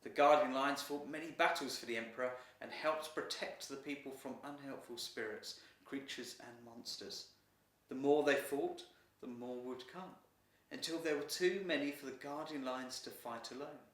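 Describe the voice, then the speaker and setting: A man reading a children's story in an expressive, deliberate narrating style. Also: mid-range voice. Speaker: author reading own story. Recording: roomy echo, distant mic.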